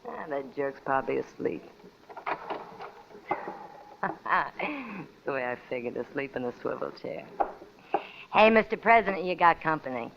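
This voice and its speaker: An actress playing a brassy, hard-bitten young gangster's moll in a radio crime drama, with sassy, slangy talk. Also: female voice, a bass voice, animated, a little slow, vocal fry, pauses often, heavily acted. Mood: impatient, teasing. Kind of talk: old-time radio crime drama acting. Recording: muffled, lightly noisy old radio recording.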